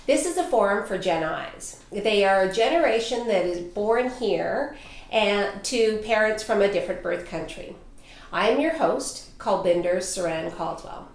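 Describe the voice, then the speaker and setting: A woman's voice: mid-range voice, animated, a little slow. A woman hosting a talk show, welcoming her panel with a polished, inviting delivery. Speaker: host and life coach. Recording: echoey room.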